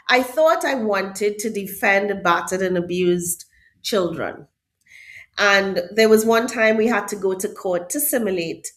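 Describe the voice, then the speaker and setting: A middle-aged woman with a Trinidadian accent speaks as an interview guest. She leans on her words with emphasis and repeats the key ones. Her pitch sits in an ordinary middle range. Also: fairly bright tone. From Trinidad and Tobago. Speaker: hotel HR director, podcast guest. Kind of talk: interview answers.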